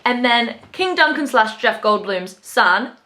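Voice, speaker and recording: A woman hosting a vlog in a bright, forward voice. She chats casually and gets excitable easily, with a playful, theatrical streak to the way she talks. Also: on the high side, measured pace, slight background noise. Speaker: vlog host. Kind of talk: casual chat to camera.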